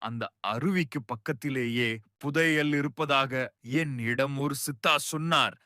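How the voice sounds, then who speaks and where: A man's voice: mid-range voice, measured pace, sharp tone, no room sound, clean studio sound. A man voicing a knowing, approving village elder in a cartoon moral story, explaining formally. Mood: approving, informative.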